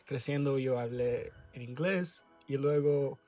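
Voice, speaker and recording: A man's voice: mid-range voice, takes it slowly, dark tone. A man with English as his first language co-hosts a podcast in Spanish. He phrases his words carefully and backs the others up with short agreeing sounds, while faint music runs behind him. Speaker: podcast co-host. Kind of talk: casual chat.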